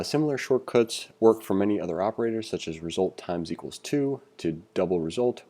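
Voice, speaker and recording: A man with a lowish, very relaxed and mellow voice teaches in an informal screencast style. He talks conversationally, thinking aloud as he reads along and comments. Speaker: programming tutorial instructor. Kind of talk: casual screencast tutorial, reading along and commenting.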